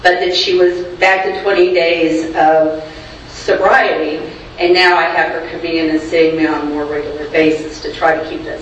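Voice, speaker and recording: Loud chatty clinic worker telling a story, mid voice, far from mic, big echo, kinda dull sound. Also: mid-range voice, a little hiss or hum. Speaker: health clinic screener. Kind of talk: sharing a story in a group meeting.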